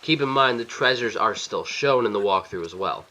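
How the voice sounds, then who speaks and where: A man's voice: on the low side, lively intonation, moderate pace, sharp tone. A man narrating a video game walkthrough as its host, giving plain step-by-step directions. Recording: slightly dull lo-fi voice-over. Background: faint game music.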